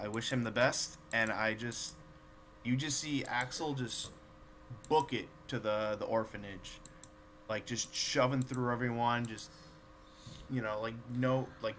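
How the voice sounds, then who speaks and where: A man's voice: on the low side, steady tone, measured pace, fairly bright tone, a little hiss or hum. A man playing a tabletop game, casually describing what his character does and says.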